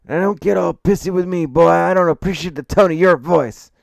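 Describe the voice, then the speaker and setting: A man's voice: a little high, unhurried, creaky, darkish tone, no echo, studio quality, voice pushed up. A man voicing a cranky, stubborn old semi-retired plumber in an audiobook, scolding and indignant. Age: older.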